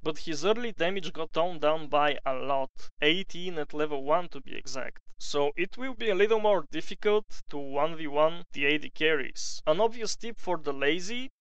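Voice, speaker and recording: A man's voice: fairly high pitch, a bit bright, smooth, no echo, poor audio. A man with a Bulgarian accent giving casual, opinionated voice-over commentary on a game update, now and then swearing.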